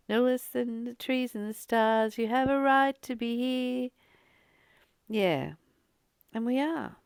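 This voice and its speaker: Slow, creaky-voiced woman reflecting on spirituality. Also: on the high side, no echo, studio quality. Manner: unhurried and contemplative, with pauses and repeated phrases. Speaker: host of a spiritual reading and study series. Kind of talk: reflective spiritual reading with informal personal commentary.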